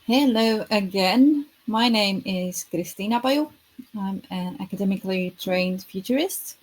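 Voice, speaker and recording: A woman presents her work live online. Her voice is warm and slightly husky. She is earnest and often stops to correct herself. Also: medium pitch, low-quality recording. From Estonia. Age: 30s. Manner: earnest, self-correcting, lightly self-deprecating. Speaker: futurist presenting her product.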